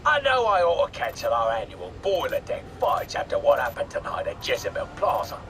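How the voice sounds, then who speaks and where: Swooping, mocking British gangster boss. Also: male voice, mid-range voice, huge pitch swings, fairly quick, distant mic, noisy background. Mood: brash announcing. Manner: mocking, menacing, theatrical. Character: cruel, bullying male British gangster boss.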